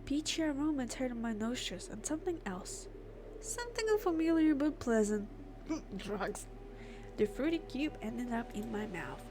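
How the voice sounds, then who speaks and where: A woman doing a let's play, reading game text aloud and adding expressive, informal reactions. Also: a little high, moderate pace, background noise. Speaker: gamer, let's player. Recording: clear game music behind the voice. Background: game music.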